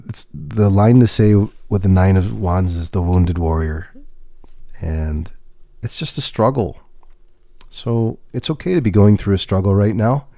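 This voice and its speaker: A man livestreaming a tarot reading, talking in a gentle, reassuring way with pauses and restarts. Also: on the low side, no room sound, clean studio sound. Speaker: tarot reader and podcast host. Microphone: webcam setup.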